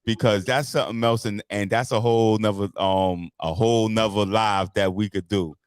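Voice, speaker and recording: Laid-back American host with a dry sound. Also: male voice, fairly low pitch, steady tone, moderate pace, clear, resonant tone, no echo. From United States. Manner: laid-back street talk with lots of backchannel agreement. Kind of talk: casual live interview.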